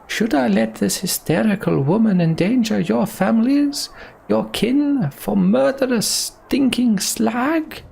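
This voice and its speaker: A man voicing a pompous, scheming male chieftain who sneers and shouts to rouse a mob in a game. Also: high voice, slow pace, creaky, breathy edge, pitched above the performer's own voice, heavily acted. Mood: rabble-rousing.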